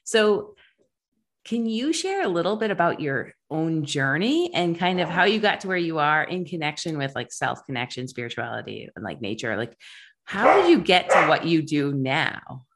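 A woman's voice: deep voice, very animated, moderate pace, a bit bright, a little hiss or hum. A woman hosting an interview podcast, introducing topics and asking her guest questions. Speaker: podcast host.

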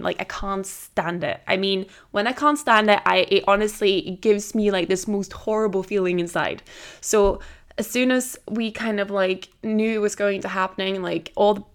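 Podcast host, thirties, female; gravelly fry, casual and excitable, heavy on "like". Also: medium pitch. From Norway. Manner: chatty, frequent "like" fillers, excited retelling. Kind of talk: casual solo podcast chat.

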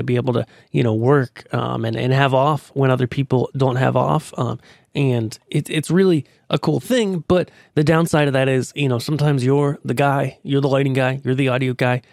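A man's voice: mid-range voice, vocal fry, darkish tone, no echo, clean studio sound. A man hosting his own podcast while under the weather with a cold, talking casually and rambling with many fillers. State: has a cold. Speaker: podcast host. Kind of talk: casual solo podcast talk.

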